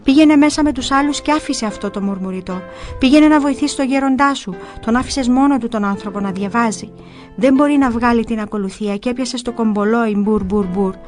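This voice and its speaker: A tormented, blasphemous demon acted out by a woman narrator in a spoken story. The voice rises high and forceful, scolding and repeating itself in exclamations. Faint music sits underneath. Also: close to an everyday voice. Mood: exasperated, scolding. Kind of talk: dramatized storytelling.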